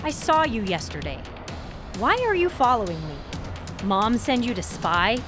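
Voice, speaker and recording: An actress playing a sheltered young college student in an audio drama, wry, guarded and self-deprecating, in a naturalistic style. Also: female voice, fairly high pitch, very animated, normal speed, over music. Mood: urgent, confrontational. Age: young adult.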